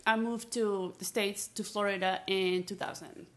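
A woman's voice: mid-range voice, steady tone, unhurried. Chatty woman. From Peru. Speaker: interview guest. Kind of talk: casual chat.

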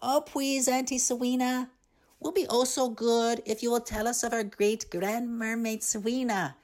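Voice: mid-range voice, steady tone, moderate pace, a little mellow, voice pushed up, close to an everyday voice. A performer with a feminine-sounding voice playing an eager, sweet little mergirl who wheedles and begs in a storybook tale. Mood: pleading. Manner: wheedling, begging.

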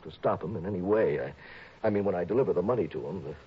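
An actor playing an anxious middle-aged stockbroker who is being blackmailed, fretful, pleading and refined, in a 1960s radio drama. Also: male voice, low-pitched, fast talker, breathy edge, dull audio. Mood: desperate.

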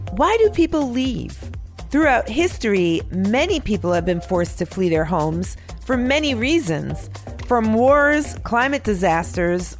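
A woman hosting a news podcast, reading a scripted, upbeat narration with clear diction. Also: mid-range voice.

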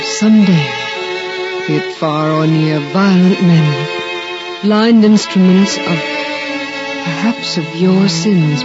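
An actress playing a devout, tender mother of Christ with solemn, measured phrasing in a 1950s radio drama. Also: lowish voice. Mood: sorrowful. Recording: dull 1950s radio recording, music behind.

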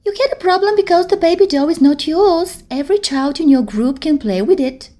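A woman's voice: extremely high pitch, expressive, a little fast, dark tone, voice pushed up. Woman doing a kind, reassuring older girl. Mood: soothing. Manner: reassuring, explaining. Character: kind caring nine-year-old girl.

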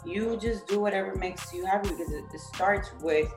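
A woman's voice: medium pitch, moderate pace, over music. Chatty woman guest in an echoey room. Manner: animated, acts out other people's quotes, frequent "like" and "you know". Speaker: podcast guest. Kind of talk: casual interview chat.

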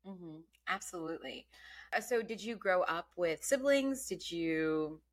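A woman's voice: lowish voice, expressive, unhurried. A woman from Bangladesh, not an English speaker, hosting a podcast interview and asking questions with many ums and restarts. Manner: warm curious questioning with many fillers. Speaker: podcast host. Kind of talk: casual interview.

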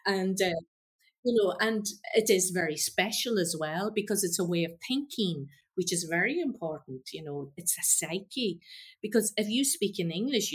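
Earnest woman, lowish voice. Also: slightly rough. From Ireland. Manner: earnest and passionate, with fillers. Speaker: guest, former teacher. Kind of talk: casual conversation.

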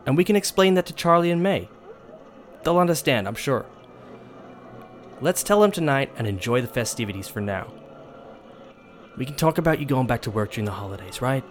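A man's voice: mid-range voice, animated, a little fast, long pauses, lightly acted. A man playing a supportive but wounded middle-aged husband and father in an audio drama.

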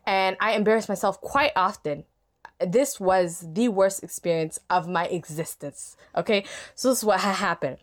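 A young woman on a podcast telling a funny story in casual chat, lively and self-mocking, often breaking into laughter. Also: mid-range voice, normal speed. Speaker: podcast guest.

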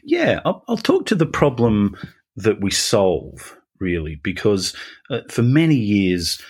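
An Australian man in his forties, a business executive being interviewed, explaining things in a measured way with some restarts. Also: fairly low pitch, wildly swooping pitch, slightly rough. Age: 40s.

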